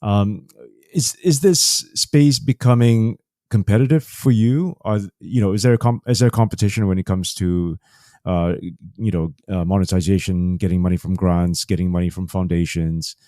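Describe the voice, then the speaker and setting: A man's voice: deep voice, moderate pace, dark tone, no room sound, studio quality. A man interviewing a guest on a podcast in a conversational style.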